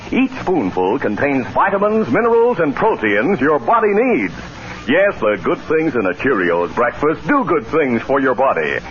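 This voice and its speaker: A male announcer delivering theatrical, declamatory narration in the dramatic style of 1940s and 50s radio. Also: a little high. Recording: dull vintage radio recording. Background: music.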